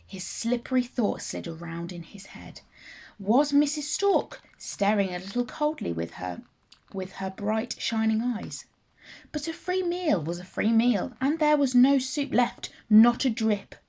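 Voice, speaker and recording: A woman reads a children's fable aloud with expressive, deliberate delivery. Her voice is a little husky and creaky, and she takes her time over the phrases. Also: mid-range voice. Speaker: teacher reading a story aloud. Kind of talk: children's story narration.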